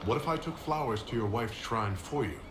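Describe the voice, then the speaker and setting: An actor playing a courteous, composed hero in a fantasy video game, speaking calmly and directly. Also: male voice, medium pitch, a little fast, noisy background, close to an everyday voice. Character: composed, courteous heroic male investigator. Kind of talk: video game cutscene acting. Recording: roomy echo with music behind.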